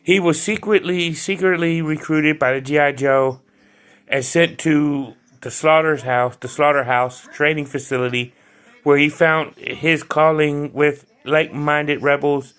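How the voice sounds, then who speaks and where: A man's voice: a little high, fairly even intonation, a little slow, fairly bright tone. A man giving a loose, chatty video review of an action figure, with frequent restarts and fillers. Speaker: toy reviewer.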